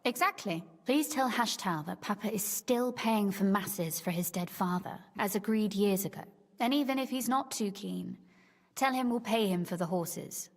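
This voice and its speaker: A woman's voice: mid-range voice, animated, normal speed, big-room sound, close to an everyday voice. An actress playing a shrewd, teasing young medieval noblewoman in a video game, speaking in articulate, formal phrases. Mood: earnest. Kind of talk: scripted game dialogue.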